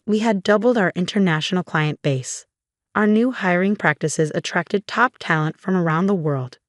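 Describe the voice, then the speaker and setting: A woman narrating a first-person story in an even, measured reading voice. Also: lowish voice, no room sound, clean studio sound.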